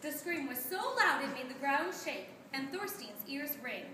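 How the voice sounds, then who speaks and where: A woman's voice: high-pitched, big pitch swings, normal speed. A woman narrating a scripted puppet-show story as its storyteller. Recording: echoey room, noisy, distant mic.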